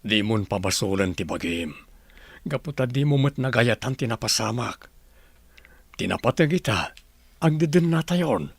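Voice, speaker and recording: A man playing a gentle, reassuring father and husband in an Ilocano radio drama. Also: mid-range voice, animated, normal speed, gravelly, pauses often, husky, dry recording. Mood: tender, solemn.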